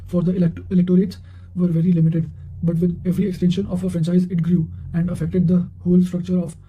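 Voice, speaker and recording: Male reader for revision notes: flat intonation, high register, occasional self-corrections. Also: rough recording. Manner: reads with stumbles and self-corrections. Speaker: narrator reading quotations for exam revision. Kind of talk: read-aloud quotations, study revision.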